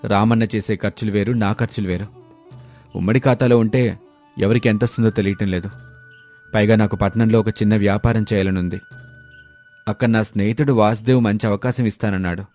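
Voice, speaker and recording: A man's voice: lowish voice, steady tone, fairly quick, pauses often, darkish tone, soft background music, natural voice. A man playing an earnest, pleading, ambitious younger brother from a village family in a moral story. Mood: discontented, earnest. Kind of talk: moral story character dialogue.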